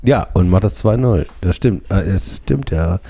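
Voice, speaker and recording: A man's voice: deep voice, expressive, unhurried, creaky, warm tone. A man chatting casually and jokingly as a podcast host. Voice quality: stutter, let out playfully at times. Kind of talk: casual jokey chat.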